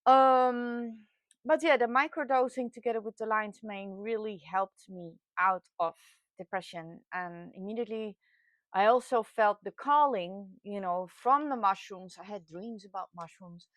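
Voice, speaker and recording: A woman in her late forties from the Netherlands with a Dutch accent, telling her own experience casually and candidly, often pausing to search for words. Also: medium pitch, no room sound. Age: late 40s. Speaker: vlogger sharing personal experience. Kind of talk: casual personal talk to camera.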